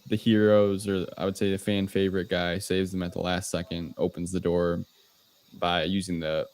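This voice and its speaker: A man's voice: sits low, smooth. A man co-hosting a podcast, casually recapping a film in conversation. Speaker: podcast co-host. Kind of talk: casual movie recap discussion.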